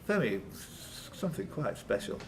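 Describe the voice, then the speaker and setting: Informal male quizmaster with lively pitch swings. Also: normal speed, creaky, a little hiss or hum. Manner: informal, teasing, stumbles and self-corrects while reading. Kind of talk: live quiz question reading with banter. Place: indoors at a pub quiz tournament.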